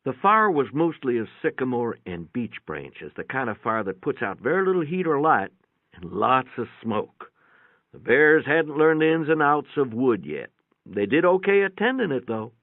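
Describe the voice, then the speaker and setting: Phone-quality dry recording, guy with lowish voice reading a story, folksy matter of fact narrator. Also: very animated, normal speed, no room sound. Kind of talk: dramatic first-person literary reading. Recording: muffled, narrow phone-like sound, dry.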